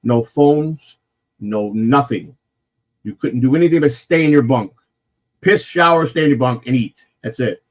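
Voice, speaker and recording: A man with a naturally loud, mid-pitched voice tells a long story on a casual morning stream. He rambles, swears freely, and keeps restarting his sentences. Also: measured pace. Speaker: morning show host telling a personal story.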